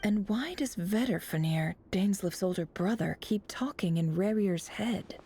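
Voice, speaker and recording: A woman playing a composed, shrewd mind reader in a video game, musing in a measured, self-assured way. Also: medium pitch, mellow, a little hiss or hum, close to an everyday voice. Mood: pensive, analytical. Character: composed, shrewd, analytical woman reading others' minds. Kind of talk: video game character monologue.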